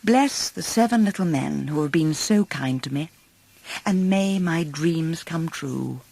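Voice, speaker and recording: A woman voicing a sweet, kindly young princess in a storybook, gentle and polite. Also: low-pitched, animated, a little slow, vocal fry, heavily acted. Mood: tender.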